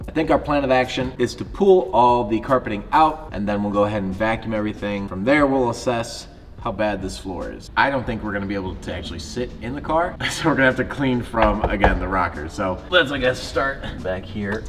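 A man's voice: lowish voice, expressive, fairly quick. Young man chatting in an echoey garage. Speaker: car detailer and host. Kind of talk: casual vlog chat while working. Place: indoor garage workshop. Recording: echoey garage with music behind.

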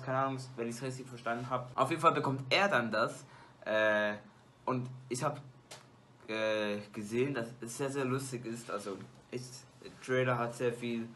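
A man's voice: mid-range voice, sharp tone, slight background noise. A teenage boy vlogging from his bedroom, rambling casually to a webcam with lots of fillers and restarts. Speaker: YouTuber. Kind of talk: casual movie-ranking vlog. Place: small bedroom lit with colored LED lights.

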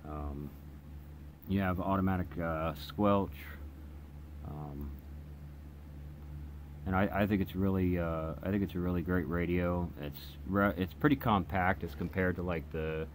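A man giving a casual, unscripted hands-on product demonstration in a halting, hesitant way, as a hobbyist reviewer. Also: deep voice, fairly even intonation. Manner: hesitant, halting delivery with trailing phrases. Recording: clear background noise in room.

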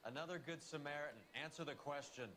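An actor playing a wisecracking, cynical 1940s police detective in a video game, with a dry, sardonic way of talking. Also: male voice, on the high side, fast talker, a crowd in the background, natural voice. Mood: impatient. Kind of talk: video game dialogue acting. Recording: game music behind.

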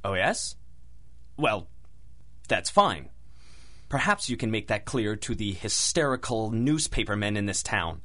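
A haughty asylum chief from a 1930s radio drama. His voice is fairly low and swings widely. He is stuffy and formal, quick to irritation and indignation. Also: big pitch swings, sharp tone, heavily acted. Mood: testy.

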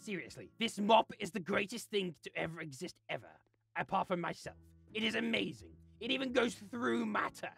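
Gravelly, haughty cartoon genius creature. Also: mid-range voice, lively intonation, fairly quick, a bit bright, faint music behind, heavily acted. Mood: smug. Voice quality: raspy, gravelly. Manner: theatrical, haughty, melodramatic rants. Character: arrogant, exasperated, cackling self-styled genius creature. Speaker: voiced character in a gaming series. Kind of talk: voice-acted gameplay comedy.

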